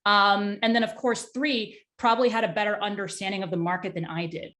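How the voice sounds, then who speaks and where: A woman interview guest talking conversationally, in a candid, excitable and talkative way. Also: mid-range voice, a little fast. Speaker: tech startup executive, interview guest.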